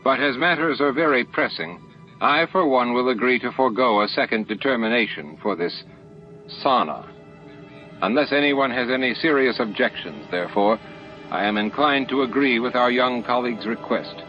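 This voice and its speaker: A man's voice: mid-range voice, moderate pace, dull audio, faint music behind, pitched above the performer's own voice, close to an everyday voice. A man voicing a stern male alien grandmaster who speaks formally and with command, in an audiobook. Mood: reluctant, formal.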